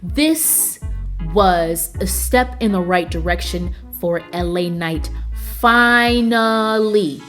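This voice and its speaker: An excitable woman recaps the week's wrestling in a loose, chatty way, doubling up words when she gets fired up. Her voice sits at an everyday middle pitch, with soft music playing quietly behind her. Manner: enthusiastic, emphatic, repeats words for emphasis; casual slangy phrasing. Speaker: wrestling review show host. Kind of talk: casual recap and commentary.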